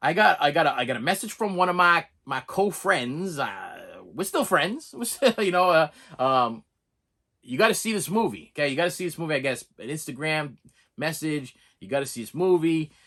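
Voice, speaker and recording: A man's voice: a little high, sing-song, moderate pace, sharp tone. A middle-aged man with a Boston accent, talking to camera in a rambling, chatty review monologue, often repeating and restarting words and laughing. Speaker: movie review vlogger.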